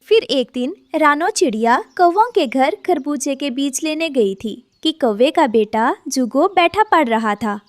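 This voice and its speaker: A woman's voice: high-pitched, animated, unhurried, hardly any pauses, dry recording, clean studio sound. A woman narrating a scripted children's story.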